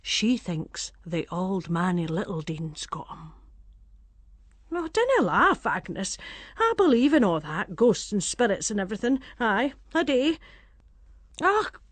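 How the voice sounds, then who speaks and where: A woman's voice: fairly low pitch, breathy edge, dry recording. An actress playing a gossipy, superstitious Scottish village woman, chatting conspiratorially in Scots dialect as a dramatic monologue. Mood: gossiping, conspiratorial, then insistent.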